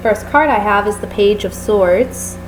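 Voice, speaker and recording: A woman with a stuffy, nasal voice from a sinus infection talks through a tarot reading in a relaxed, apologetic way, filling gaps with "um" and "uh". Her voice sits mid-pitched, and a clear steady hiss runs under the home recording. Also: measured pace, rough recording. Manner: apologetic, chatty, many fillers. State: sick with a sinus infection, sniffly. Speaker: tarot reader. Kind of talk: casual tarot reading to camera.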